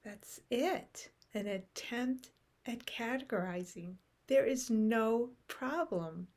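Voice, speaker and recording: A woman leading a guided meditation in short, unhurried phrases with long pauses, softly trailing into breath at the ends. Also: on the high side. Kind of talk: guided meditation, unscripted and contemplative.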